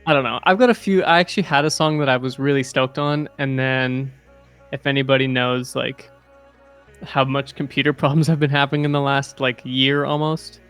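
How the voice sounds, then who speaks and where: A man's voice: medium pitch, fairly even intonation, normal speed, fairly bright tone, smooth. A man with a mid-range voice chats casually about his music. He is talkative and easygoing, peppering his speech with "dude" and "like". Faint music sits in the background. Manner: chatty, says "dude" and "like" a lot. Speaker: music producer. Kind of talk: casual chat on a livestream.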